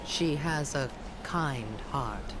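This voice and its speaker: An actress playing a hardened, vengeful mystic in a fantasy game, with a solemn, stern delivery. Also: female voice, extremely low pitch, extremely slow, heavy background noise, heavily acted. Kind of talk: fantasy video game voice acting. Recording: loud background ambience.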